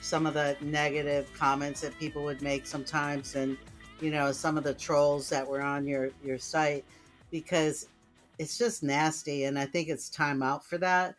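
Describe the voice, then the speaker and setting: A woman's voice: sits low, flat delivery, normal speed, soft background music. A woman from Puerto Rico co-hosting a podcast in casual chat, conversational and heartfelt, often saying "you know.". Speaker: podcast co-host.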